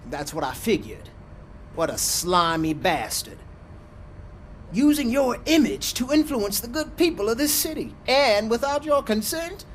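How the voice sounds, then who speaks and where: Casting: self-serving game politician, animated and high-pitched, theatrical campaign delivery, faint noise underneath. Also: male voice. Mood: smug, indignant. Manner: oily, grandiose politician's delivery. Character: slick, self-serving city mayor, male, theatrical campaigner. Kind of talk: video game voice acting.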